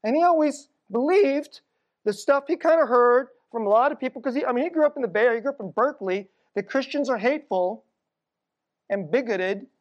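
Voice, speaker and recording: A middle-aged Korean American woman telling stories in a sermon, casual and conversational, dropping to a hushed low voice at tense moments. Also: animated, a little fast, dull audio. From United States.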